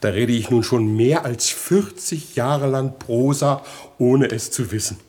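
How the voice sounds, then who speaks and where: An actor playing a vain, foolish, middle-aged rich bourgeois in a radio comedy, naive and eager, blustering and exclaiming. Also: male voice, medium pitch, a little slow, a little mellow, breathy edge. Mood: puzzled, naive. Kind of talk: 1960s German radio comedy acting.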